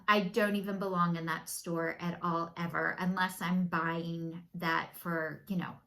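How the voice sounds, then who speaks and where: A woman's voice: on the low side, vocal fry, pushed and bright. Chatty, candid woman in her fifties. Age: 50s. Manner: candid, chatty, self-deprecating. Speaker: vlogger. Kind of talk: casual chat to camera. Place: at home.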